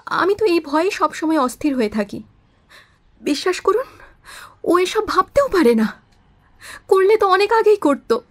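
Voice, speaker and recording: A woman's voice: extremely high pitch, big pitch swings, moderate pace, pauses often. A woman playing an anxious, guilt-ridden witness in an audio drama, pleading and defensive with feeling.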